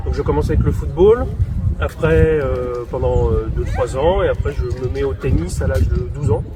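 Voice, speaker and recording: A man's voice: mid-range voice, normal speed, a crowd in the background. A man in his forties from France, giving interview answers in a hesitant way with many fillers and restarts. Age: 40s. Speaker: interview guest, wheelchair tennis player and coach. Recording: distant mic, loud outdoor street noise.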